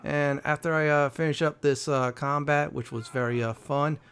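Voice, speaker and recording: A man's voice: fairly high pitch, steady tone, moderate pace, a bit bright, smooth. A man hosting a game walkthrough in a casual, chatty, easygoing way. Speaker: gaming video host.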